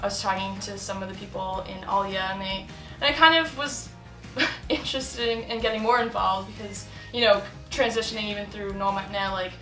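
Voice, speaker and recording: A young woman chats casually in an interview, her voice bright and forceful. She fills her talk with likes and ums and laughs easily. The room rings with echo, and faint music plays under her. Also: medium pitch, fairly even intonation, brisk. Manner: chatty, many fillers and laughs.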